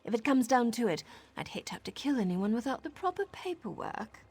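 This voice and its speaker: A woman playing a sly, drily witty assassin in a video game, with a husky voice and a teasing, theatrical manner. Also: on the high side, big pitch swings, a little fast, darkish tone, exaggerated voice. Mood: wry, dry. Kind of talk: video game character dialogue.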